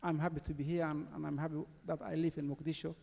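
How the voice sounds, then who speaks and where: Somali man, think tank panelist, gravelly and halting, reverberant hall. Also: on the high side, level tone, darkish tone. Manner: halting, many fillers. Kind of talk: personal panel statement. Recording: long reverb of a large hall.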